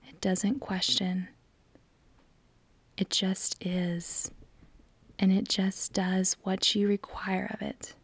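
A woman with a soft, breathy, near-whispered voice leads a guided meditation, calm and soothing, with long measured pauses. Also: medium pitch, no echo.

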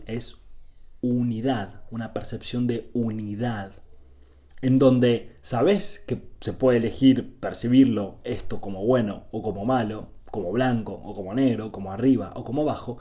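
Mellow-voiced Argentine man giving a spiritual talk. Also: medium pitch, measured pace. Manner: didactic, checks in with rhetorical tags and repeats phrases. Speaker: spiritual teacher.

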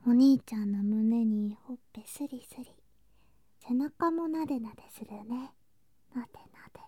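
A woman playing an affectionate, clingy younger girl cousin in an intimate bedtime ASMR drama, speaking softly and breathily, often in whispers, drowsy and coaxing. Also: on the high side, normal speed, no room sound, clean studio sound, natural voice. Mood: tender whispering. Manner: soft, drowsy, coaxing, sweetly childlike.

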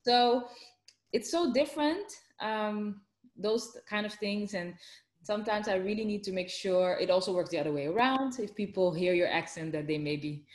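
A woman's voice: medium pitch, moderate pace, reverberant. Chatty woman with a Dutch accent. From Netherlands. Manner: chatty and animated, many fillers and restarts. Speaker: interviewee. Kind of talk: casual interview chat.

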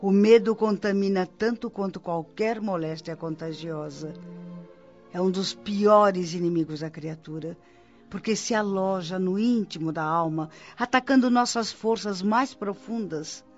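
A man with a Brazilian accent playing a wise, patient spirit mentor in a radio drama, teaching in a measured, reassuring way. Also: high voice. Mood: patient, earnest. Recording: dull lo-fi radio drama, music behind. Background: music.

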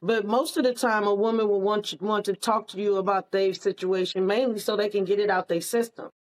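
A woman's voice: medium pitch, steady tone, a little fast. Blunt older woman, frank talk. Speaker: podcast co-host. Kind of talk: casual podcast chat.

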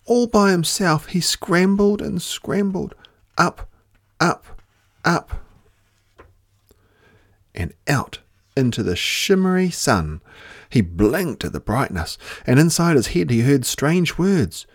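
Male storyteller, lowish voice, very lively intonation, expressive children's narration, dramatic emphasis, close dry sound. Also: slow, long pauses, dry recording. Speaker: storyteller reading a picture book aloud.

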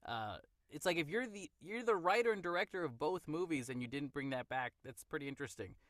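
A man's voice: fairly high pitch, animated, a little fast, fairly bright tone, no room sound, studio quality. A man guest-hosting a movie review podcast, talking in a playful way and laughing easily. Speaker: guest host. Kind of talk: casual movie review chat.

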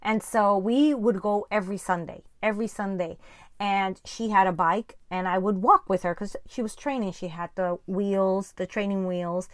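A woman's voice: mid-range voice, steady tone, normal speed, dry recording, clean studio sound. A woman with a Spanish accent, a native Spanish speaker, hosts a casual Bible-teaching podcast in a conversational, earnest and emphatic way.

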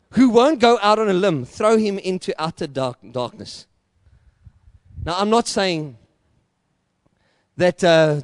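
A middle-aged South African man preaches with a high, ringing voice, stacking repeated phrases into loud, emphatic climaxes. His Afrikaans-rooted accent colors every line, and the sound is close and dry with no room around it. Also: no echo. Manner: passionate preaching, rising to loud emphatic peaks; repetitive rhetorical build-ups. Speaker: pastor. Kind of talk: sermon.

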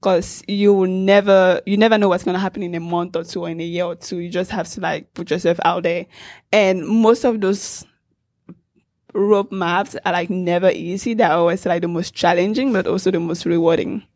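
A woman's voice: lowish voice, fairly even intonation, moderate pace, a little mellow, no room sound, studio quality. A woman from Cameroon speaking as a panelist in a discussion, earnest and encouraging, laughing easily.

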